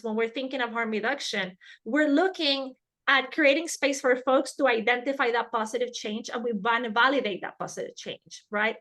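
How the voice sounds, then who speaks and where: Bright-voiced woman with a Spanish accent. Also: fairly high pitch. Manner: earnest, repeats key words for emphasis. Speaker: webinar presenter. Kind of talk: teaching a professional webinar. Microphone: video call.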